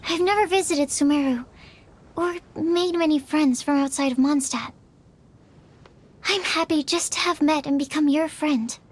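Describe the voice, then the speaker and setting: Woman as very high-pitched, shy young anime girl. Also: a little hiss or hum, natural voice. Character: kind, shy young woman, earnest and self-effacing. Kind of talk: anime video game voice acting.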